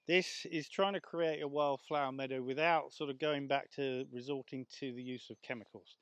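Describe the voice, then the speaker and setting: A man's voice: medium pitch, dry recording. Chatty man outdoors, dry sound. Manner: chatty, rambling with fillers. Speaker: lawn care presenter. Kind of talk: casual outdoor demonstration. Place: outdoors in a large garden. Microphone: clip-on lapel mic.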